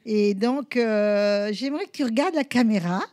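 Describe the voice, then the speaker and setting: Chatty female talk show host, slightly high-pitched, dry. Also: normal speed, darkish tone, no echo. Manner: chatty, laughs often. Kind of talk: casual chat.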